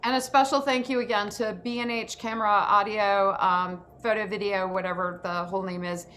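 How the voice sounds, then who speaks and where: A woman's voice: medium pitch, fairly even intonation, brisk, sharp tone. A woman hosting an informal online workshop, speaking enthusiastically as she instructs over a video call. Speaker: host and acting self-tape instructor. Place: indoor room on a video call. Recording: background noise on a video call.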